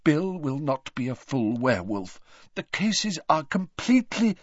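A weary, tense older man, read by a male narrator. He speaks in a clipped, guarded manner, slowly, in a dry and slightly dull recording. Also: on the high side, big pitch swings, no room sound, pitched above the performer's own voice.

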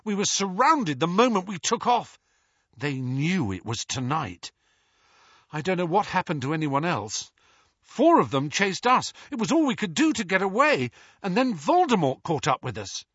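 A man's voice: high voice, sing-song, normal speed, fairly bright tone, breathy edge, no room sound, muffled recording, pitched above the performer's own voice, heavily acted. A man voicing a shaken teenage boy who explains tensely and in a hurry in an audiobook reading.

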